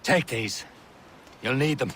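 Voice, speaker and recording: An actor playing a steady seafaring mentor and first mate in a video game, with an authoritative, encouraging manner. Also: male voice, medium pitch, slow pace, a little hiss or hum. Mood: brisk instruction. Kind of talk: dramatic video game acting.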